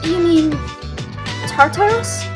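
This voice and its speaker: A woman playing a feisty, skeptical teenage schoolgirl, expressive and outspoken, in a fan audio drama. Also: very high voice, slow, music in the background. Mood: worried.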